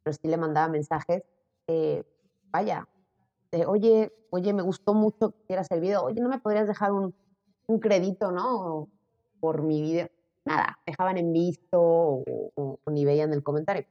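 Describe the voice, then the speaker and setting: A Mexican woman in her late twenties chatting as an interview guest, animated and quick to laugh. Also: on the low side. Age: late 20s. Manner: animated, laughing, quoting herself in lively bursts. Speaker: interview guest, content creator. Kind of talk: casual conversation. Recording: dull audio with long reverb.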